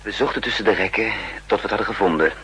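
A man's voice: lowish voice, very fast, pushed and bright, breathy edge, full character voice. An actor playing a suave, wily male spy in a 1960s Dutch radio drama, nonchalant and theatrical. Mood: narrating, watchful. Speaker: actor and narrator. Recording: 1960s radio recording with faint hum.

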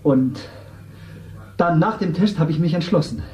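A man's voice: fairly high pitch, a little slow, darkish tone, natural voice. A man playing a naive actor cast as a government minister, talking earnestly and self-assuredly in a 1970s radio drama. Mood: reminiscing. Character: male actor turned TV minister, eager, naive. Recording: roomy distant radio play with music.

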